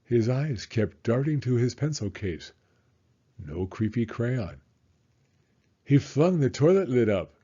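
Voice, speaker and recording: A man reading a children's story aloud in a theatrical, suspenseful way with drawn-out emphasis. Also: mid-range voice, very animated, mellow, husky, muffled recording.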